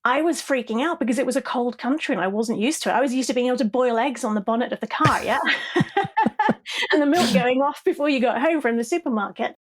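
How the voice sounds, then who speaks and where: A middle-aged woman from southeast England with an English accent, telling stories as a podcast guest in a lively, laughing way. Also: high voice, brisk. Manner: animated, laughs often, tags sentences with "yeah?". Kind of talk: conversational storytelling interview.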